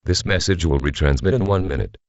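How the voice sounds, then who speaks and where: Man acting as civil defense announcer, low-pitched, grave, formal, measured delivery, dry muffled broadcast sound. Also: no room sound. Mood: grave, official. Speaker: emergency alert announcer. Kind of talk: scripted emergency broadcast bulletin.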